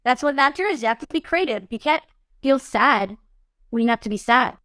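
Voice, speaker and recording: A woman's voice: high voice, expressive, brisk, fairly bright tone, no room sound. A young adult woman, an actress being interviewed, talking casually and animatedly, often saying "you know what I mean". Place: studio podcast set.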